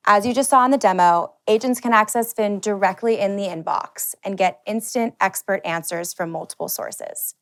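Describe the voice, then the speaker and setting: A woman presenting a scripted product announcement for a company broadcast. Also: mid-range voice.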